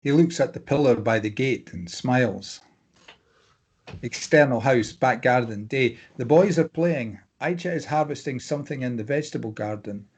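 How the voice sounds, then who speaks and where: A man reading screenplay stage directions as scripted narration, plain and measured, with clipped scene headings. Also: medium pitch, a little mellow, slightly rough.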